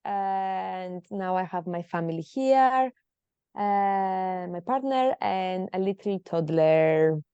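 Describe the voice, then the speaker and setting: A woman's voice: medium pitch, animated, slow, mellow, clear, resonant tone, no room sound, dull audio. A woman in her thirties from Greece, speaking as a podcast guest in a hesitant, emotional way with many fillers. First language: Greek. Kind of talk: personal interview.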